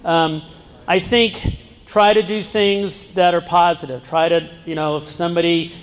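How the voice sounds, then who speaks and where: A middle-aged man with a fairly high voice speaks earnestly into a handheld microphone, now and then breaking off to start a line again. His words ring out through a big gym with a long, lingering reverb. Rows of seated students fill the room as he talks to them. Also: flat delivery, measured pace, clear, resonant tone. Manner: earnest, breaks off and restarts, jokes with the audience. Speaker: guest speaker. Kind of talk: public talk to students. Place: large school gym. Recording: long reverb in a large hall. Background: seated student audience.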